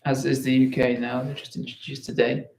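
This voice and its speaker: Guy co-hosting a livestream, short matter-of-fact replies, a bit gravelly, echoey room. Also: medium pitch, flat delivery, a little fast, a little mellow. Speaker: livestream co-host. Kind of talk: casual livestream chat.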